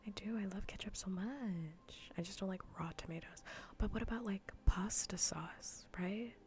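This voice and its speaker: A woman streaming in a soft, breathy, often whispered ASMR voice, chatting informally and playfully close to the mic. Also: mid-range voice, normal speed, slight background noise. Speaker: streamer hosting a live cozy gaming broadcast. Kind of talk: soft-spoken ASMR casual chat. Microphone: close ASMR microphone.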